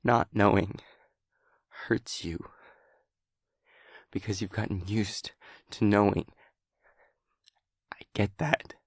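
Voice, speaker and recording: Male actor as frustrated, gently pleading boyfriend for intimate audio roleplay; lowish, very unhurried delivery, dry close sound. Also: steady tone, creaky, breathy edge, dry recording, heavily acted. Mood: earnest, hurt.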